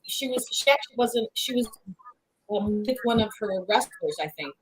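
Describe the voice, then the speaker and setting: A woman's voice: a little high, lively intonation, moderate pace, breathy edge, poor audio. A woman co-hosting a horror livestream, chatting casually with a guest and greeting the viewers.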